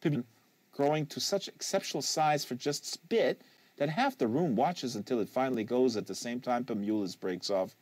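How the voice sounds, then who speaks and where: A man's voice: mid-range voice, normal speed, darkish tone, slightly rough, dry recording. Man reading a novel, dry studio sound. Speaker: audiobook reader and host. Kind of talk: live literary novel reading, scripted narration. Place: small acoustically treated home studio. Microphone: close studio microphone.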